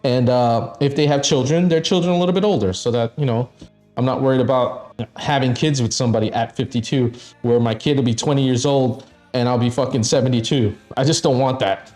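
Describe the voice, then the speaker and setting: A man's voice: mid-range voice, fairly quick, darkish tone, soft background music. A man in his fifties chatting casually on a live stream, blunt and frank, swearing often and laughing between thoughts. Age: 50s. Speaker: stream co-host.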